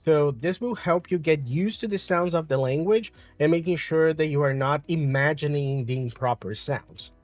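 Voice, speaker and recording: A man from Brazil with a Brazilian Portuguese accent, calmly explaining an English lesson with frequent fillers. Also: on the high side, moderate pace, hardly any pauses, no room sound, soft background music. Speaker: English teacher.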